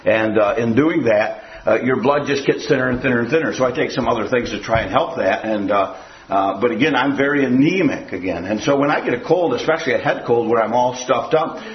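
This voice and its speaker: A man's voice: medium pitch, expressive, brisk. An older man gives a rambling, folksy sermon, circling back on his points and telling homely jokes. His voice rings through a roomy church and comes over a dull, muffled recording. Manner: rambling, folksy, repeats "again". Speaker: preacher. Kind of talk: sermon with audience questions.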